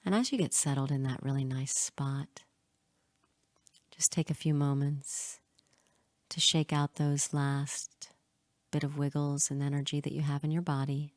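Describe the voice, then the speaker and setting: Female meditation guide: very unhurried, soft and relaxed tone, hushed, soothing, lingering pauses between phrases. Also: low-pitched, no echo. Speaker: hypnotherapist guiding a meditation.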